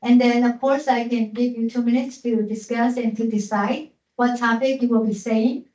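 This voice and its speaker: Woman, teacher, classroom instruction, halting with fillers, distant, roomy echo, dull sound. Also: fairly high pitch, steady tone, darkish tone. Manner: hesitant, many "uh" fillers, repeats words. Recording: echoey classroom from far off, dull.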